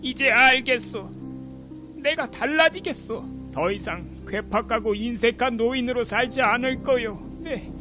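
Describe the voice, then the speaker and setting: A woman's voice: a little high, unhurried, close to an everyday voice. Woman voicing a pleading old miser. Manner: pleading, old-fashioned formal speech. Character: old stingy man, now pleading and repentant. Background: music.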